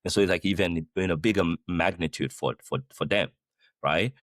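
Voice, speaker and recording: Brief: man from Guinea with a very low voice, a guest in a dry, close recording, talking loosely and animatedly, full of "like" and rephrasing. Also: normal speed, no echo. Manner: animated, speaks with many "like" fillers and rephrasing. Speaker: podcast guest, company founder. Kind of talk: casual conversational interview. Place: the Netherlands.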